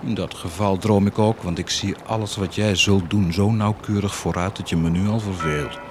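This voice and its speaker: An actor playing a cynical, taunting war commander in a radio drama, mocking and insistent, moving between murmurs and outbursts. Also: male voice, on the low side, steady tone, moderate pace, noisy background, natural voice. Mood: insistent, persuasive.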